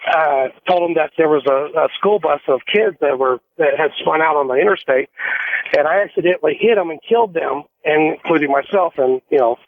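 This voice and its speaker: Gravelly American male caller on tinny phone line. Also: a little high, brisk. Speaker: radio show caller, truck driver. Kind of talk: casual rambling storytelling.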